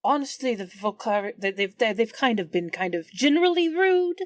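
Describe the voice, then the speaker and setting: A woman's voice: a little high, lively intonation, moderate pace, no echo, clean studio sound. A woman chatting in character as a player in an improvised tabletop role-playing game.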